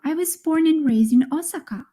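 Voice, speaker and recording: A young woman speaking her part in a scripted conversation for English learners, clear and friendly. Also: sits high, moderate pace, dark tone. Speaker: speaker in a recorded dialogue.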